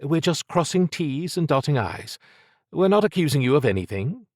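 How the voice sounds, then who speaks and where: A male narrator voicing a smooth, tactful female investigator in an audiobook, with a diplomatic manner. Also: a little high, sing-song, normal speed, a little mellow, no echo, studio quality, pitched above the performer's own voice. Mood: smooth, placating. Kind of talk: audiobook character dialogue.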